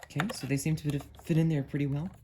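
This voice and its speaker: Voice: medium pitch, level tone, fast talker. Musing hobbyist with noise behind the voice. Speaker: amateur video maker, radio hobbyist. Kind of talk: casual hands-on product demo, thinking aloud.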